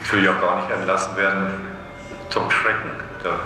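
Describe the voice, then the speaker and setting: Deep-voiced man, casual and tag-heavy, recorded far off in heavy echo. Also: steady tone, normal speed, noisy background. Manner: tags phrases with "ne?". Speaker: prison inmate. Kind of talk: casual spoken remarks. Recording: echoey, distant, music behind.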